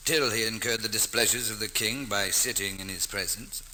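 A man's voice: fairly low pitch, moderate pace, bright, forceful tone. An actor playing a gallant, kindly nobleman swordsman, speaking theatrical archaic English in an old radio drama. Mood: gentle, courteous. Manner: theatrical, archaic courtly speech. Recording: noisy vintage radio recording.

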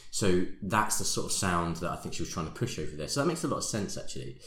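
Deep male co-host, eager and rambling, roomy recording. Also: very animated, fast talker, fairly bright tone. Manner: enthusiastic, restarts and hedges. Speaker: podcast co-host. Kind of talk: casual music review chat. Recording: roomy echo.

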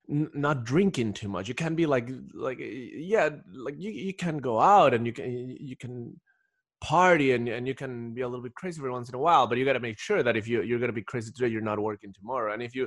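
Man from Ecuador, mid-pitched voice, casual podcast storytelling, rambling with restarts, close dry sound. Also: no echo. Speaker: podcast guest, doctor.

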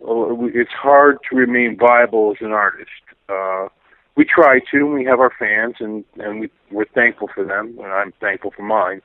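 A middle-aged man speaking over a phone line as an interview guest, reflective and halting, pausing often to find his words. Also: medium pitch, dry recording. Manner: halting, thoughtful, frequent pauses. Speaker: interview guest, musician and teacher. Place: remote phone call. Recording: tinny, band-limited phone line.

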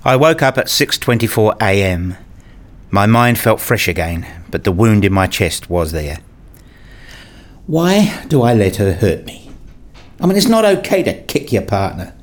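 A man playing an earnest, dryly sarcastic university researcher in a scripted radio play, with a deadpan, formal way of talking. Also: lowish voice, unhurried. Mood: wounded, resolute. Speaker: narrator and lead actor in a radio play. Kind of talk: first-person scripted radio play narration and dialogue.

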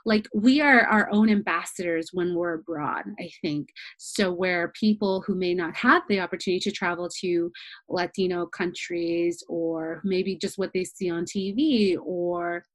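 A woman guest on a podcast, talking in a lively, casual way, restarting her sentences often. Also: on the low side, a little slow, dry recording. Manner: animated, restarts sentences, many fillers. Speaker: travel journalist guest.

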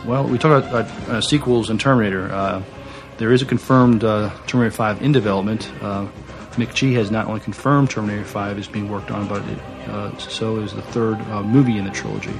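A man with a creaky, fairly low voice co-hosts a podcast. His casual delivery is halting, with repeated words, and faint music plays underneath. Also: fairly even intonation. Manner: halting, repeats words while searching for phrasing. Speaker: podcast co-host. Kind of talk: casual chat, reading notes aloud.

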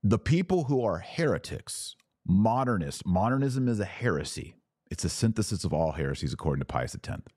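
A man's voice: fairly low pitch, very animated, brisk, a little mellow, slightly rough, no room sound, studio quality. An American man hosting a podcast, giving casual religious commentary and answering listeners in an emphatic, teacherly way. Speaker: podcast host.

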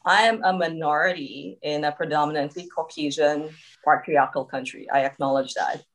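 A woman with a notably low voice and a subtle Trinidadian lilt, speaking as a coach on a podcast. She talks reflectively and keeps checking in with a quick "right?". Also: fairly even intonation, poor audio. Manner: reflective, frequent tag "right?". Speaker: coactive coach, podcast guest. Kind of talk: conversational interview.

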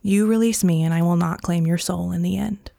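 A woman voicing the devil, a calm, cold male stranger who speaks in a measured way, as a storyteller plays him. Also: lowish voice, vocal fry, no room sound, clean studio sound. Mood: cool.

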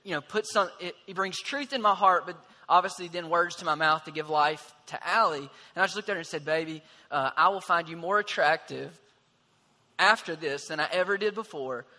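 A man in his twenties earnestly telling his story, pausing with fillers. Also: on the high side, pushed and bright, big-room sound. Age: 20s. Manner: measured, pauses with fillers. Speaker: husband sharing testimony.

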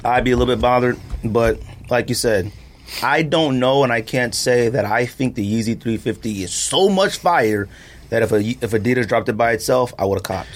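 A man's voice: mid-range voice, moderate pace. A man hosting a casual podcast chat, talking in an emphatic, opinionated, slangy way. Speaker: podcast host.

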